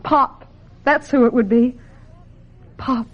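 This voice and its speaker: A woman's voice: a little high, fairly even intonation, takes it slowly, highs cut off. A woman acting in a radio drama as a wistful, sensitive young American woman with a plaintive, earnest delivery. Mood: dreamy. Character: wistful, sensitive young woman, reluctant beauty contestant turned actress.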